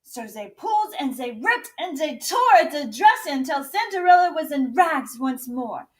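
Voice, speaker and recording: A woman's voice: high voice, sing-song, normal speed, far from the mic. A woman teacher reading a storybook aloud very expressively, recorded a little away from the mic.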